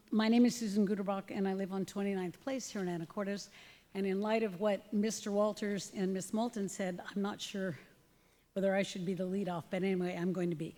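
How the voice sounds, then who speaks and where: A woman speaking at public comment, loud and firm, reading prepared remarks. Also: medium pitch, in a large hall. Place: council chamber. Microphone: podium microphone.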